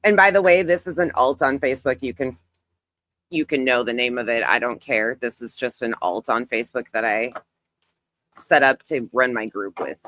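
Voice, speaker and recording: A woman's voice: a bass voice, normal speed, fairly bright tone, slight background noise. A woman hosting a casual live online commentary, emphatic and repetitive in phrasing, talking through what she does as she browses. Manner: narrates own actions while browsing. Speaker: YouTube creator, host.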